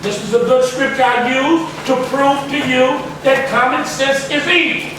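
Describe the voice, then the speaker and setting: A man's voice: very high voice, fairly even intonation, moderate pace. A man in his sixties preaching a Bible lesson emphatically, repeating his phrases insistently. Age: 60s. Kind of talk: sermon, Bible teaching. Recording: echoey room, distant mic, faint noise.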